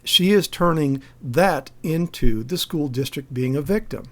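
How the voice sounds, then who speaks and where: A man's voice: a little high, expressive, a little slow. An American man delivering spoken rebuttal commentary in an emphatic, pointed, argumentative way. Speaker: activist commentator giving a response.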